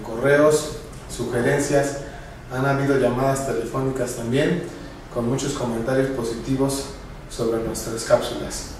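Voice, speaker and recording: A man's voice: mid-range voice, a little mellow, distant mic, slight background noise. A man, a clinic director seated at his desk earnestly giving viewers nutrition advice straight to camera. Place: bright office, seated at a desk. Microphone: camera at a distance. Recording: very echoey room, mic from afar.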